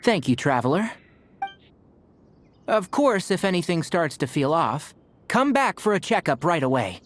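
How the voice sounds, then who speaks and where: A man voicing a gentle, dry-witted young healer who talks calmly and teases lightly. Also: a little high, measured pace, a little hiss or hum. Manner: calm, lightly teasing. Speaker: doctor.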